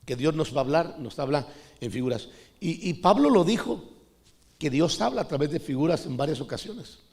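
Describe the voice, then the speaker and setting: A middle-aged man from Costa Rica preaches to his congregation in an easy, conversational manner. His voice sits a little higher than most men's, and he keeps turning to the listeners as brothers. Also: expressive, normal speed, darkish tone. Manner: conversational preaching, often adds "hermano". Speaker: pastor. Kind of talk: sermon.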